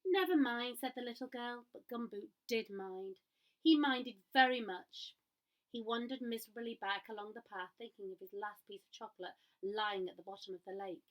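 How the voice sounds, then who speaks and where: A woman reading a picture book aloud to children in an expressive way, pausing to ask her listeners questions. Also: mid-range voice, poor audio. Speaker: storyteller.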